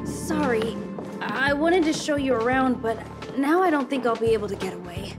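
A woman's voice: a little high, expressive, moderate pace, loud music behind the voice, lightly acted. A woman voicing a gracious, eager young female leader in a video game, polite, helpful and professional. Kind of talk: video game cutscene acting.

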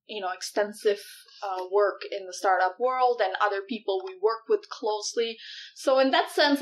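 A woman's voice: medium pitch, rough recording. A woman in her thirties from Malaysia, a startup CEO talking through ideas in an interview, with many fillers and easy laughs. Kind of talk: in-person interview.

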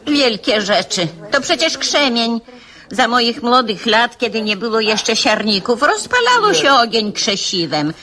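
Radio drama: devout old grandmother, old-fashioned and admonishing yet loving, measured slow delivery. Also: a little high, big pitch swings, sharp tone, heavily acted. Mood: stern, disapproving.

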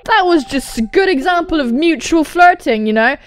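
A young British woman doing game commentary and reading aloud, excitable and sweary, exclaiming often. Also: sits high, normal speed. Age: young adult. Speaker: gaming video host. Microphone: close studio mic. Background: music.

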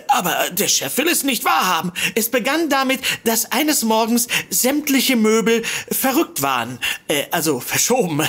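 An actress playing a fussy, apologetic secretary in a comedic audio drama, stammering and rambling nervously. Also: female voice, mid-range voice, a bit bright, slightly rough, full character voice. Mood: anxious, fussy. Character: flustered, apologetic, fussy secretary seeking help.

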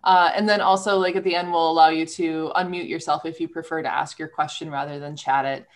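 A woman's voice: deep voice, fairly even intonation, a little fast. A woman in her thirties moderating a study abroad panel on a video call, guiding questions in an organized, friendly way. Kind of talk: moderating a webinar.

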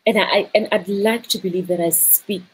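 A woman's voice: medium pitch, fairly even intonation, normal speed, breathy edge, poor audio. A South African woman in her thirties, a tourism business owner on a video call, talking animatedly with many repeated words and fillers. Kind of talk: casual video-call interview. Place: indoor room.

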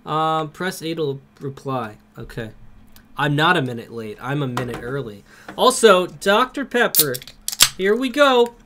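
A young man with a cold, streaming a game from home on a headset and greeting his chat by name with excited outbursts. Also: fairly high pitch, measured pace, a little hiss or hum. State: has a cold.

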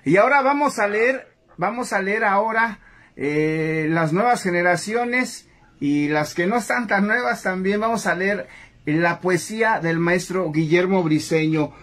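A man's voice: sits high, expressive, a little slow, fairly bright tone. A middle-aged man hosting a casual interview in a cluttered home studio, eager and dropping English fillers. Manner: enthusiastic, mixes English fillers. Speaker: host.

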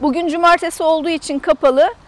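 A woman's voice: very high-pitched, fairly even intonation, fast talker. A woman in her thirties giving a chatty, casual guided tour to camera outdoors, explaining things as a travel vlogger. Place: outdoors in an open camper park lot.

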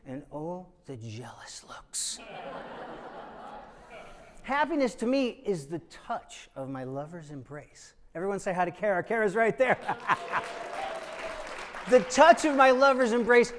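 A man in his forties from New England, giving an animated, emphatic motivational speech on a large auditorium stage. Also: high-pitched. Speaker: keynote speaker.

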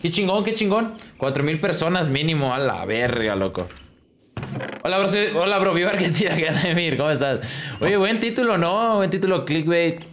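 A young Mexican man chats to his livestream in loose, slangy speech. His voice sits a bit high. Noise and clicking run behind him. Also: very animated, moderate pace, fairly bright tone. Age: 20s. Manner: slangy, informal. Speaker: video game streamer. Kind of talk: casual livestream chat. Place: indoors in a small room at a desk.

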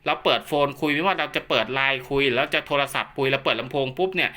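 A man with a very bright, forward voice pitched a little high for a male speaker. He explains equipment like a hands-on technician, in a chatty, instructive way, often going back over a point. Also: fast. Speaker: radio equipment technician and seller giving a demo. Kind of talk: informal product demonstration and explanation.

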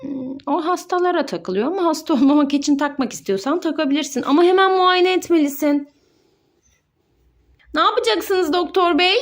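A woman's voice: sits high, moderate pace. A woman playing with her child, narrating toy doctor play in a sing-song, encouraging way and explaining each step.

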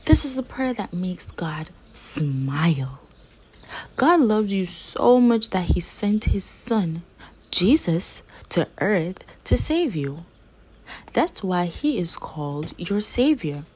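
A woman reading a children's picture book aloud as a storyteller, with gentle, deliberate, emphatic phrasing. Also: on the low side, sing-song, slight background noise.

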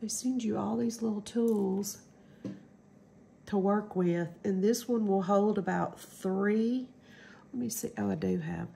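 Stuffy middle-aged lady showing off a craft kit, slow and gravelly, mellow tone, bit of background hiss. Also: mid-range voice, sing-song. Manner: chatty, rambling, hesitant with ums. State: stuffy from a cold. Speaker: crafting channel host. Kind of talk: casual craft demo.

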